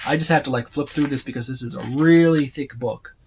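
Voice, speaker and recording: A man's voice: medium pitch, brisk, a little hiss or hum. A man giving a casual, unscripted walkthrough as a reviewer, rambling and listing items as he browses. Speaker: tabletop game book reviewer.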